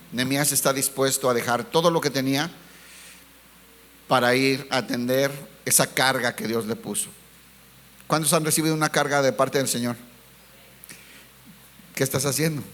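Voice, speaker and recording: A man preaching a sermon as a pastor. He asks the congregation rhetorical questions, calls them brothers, and slows down to stress key words. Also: mid-range voice, fairly bright tone.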